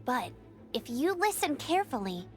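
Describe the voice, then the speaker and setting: A woman's voice: sits high, very animated, moderate pace, soft background music. A woman voicing a plucky, determined teenage girl knight in an anime video game, spirited and earnest. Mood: resolute.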